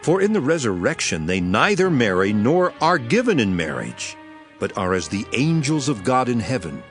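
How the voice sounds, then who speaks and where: A man's voice: mid-range voice, very animated, normal speed, fairly bright tone, soft background music. An emphatic, authoritative male teacher. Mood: firm, correcting. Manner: solemn, emphatic, declamatory. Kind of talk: dramatized Bible reading.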